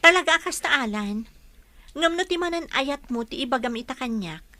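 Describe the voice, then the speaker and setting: A woman acts a sincere young nurse nursing a broken heart in an audio drama. Her voice is high and very bright, with earnest, expressive delivery. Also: normal speed, dry recording. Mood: firm, earnest. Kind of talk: Ilocano audio drama dialogue.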